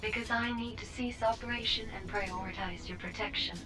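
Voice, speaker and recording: A woman playing a polite, dutiful self-driving cab AI in an audio drama, formal, measured and apologetic. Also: fairly high pitch, fairly even intonation, close to an everyday voice, low-quality recording. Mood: polite, firm. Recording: music behind the voice.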